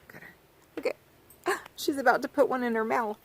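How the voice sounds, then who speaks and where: A woman, a children's author, reading a picture book aloud outdoors by her farm garden, talking to young listeners in an animated, sing-song way and asking them questions. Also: on the high side, normal speed, slight background noise.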